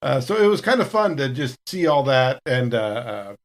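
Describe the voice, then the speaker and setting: A man's voice: medium pitch, big pitch swings, normal speed. A man chatting casually as a podcast cast member, telling stories in a rambling way. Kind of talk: casual retrospective chat.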